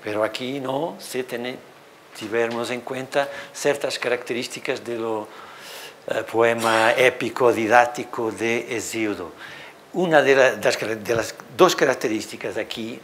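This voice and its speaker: A middle-aged man with a Portuguese accent, speaking Spanish as a second language, gives an animated university seminar lecture in a hall on a lapel mic, with many fillers. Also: mid-range voice, unhurried, a little hiss or hum. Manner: animated, gestures at projected slides, thinks aloud with many fillers. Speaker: literature professor.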